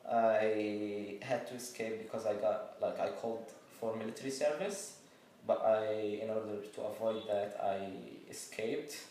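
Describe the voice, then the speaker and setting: A young man from Syria, answering interview questions slowly, pausing and trailing off. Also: lowish voice, steady tone, dark tone. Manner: slow, halting, trailing off. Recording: echoey room, distant mic, faint noise.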